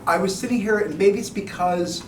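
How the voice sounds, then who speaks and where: A man's voice: sits high, a little fast. A man giving informal feedback to a group in a careful, hesitant and tentative way. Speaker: former cast member giving feedback. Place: indoor gathering in a home. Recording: echoey, distant mic, noisy room.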